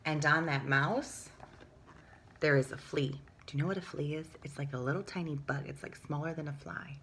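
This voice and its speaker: A woman with a low-pitched voice reads a picture book to young children as their teacher. Her delivery is sing-song and drawn out, dropping into hushed moments, with simple explanatory asides. A light layer of background noise sits behind her. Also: steady tone, moderate pace.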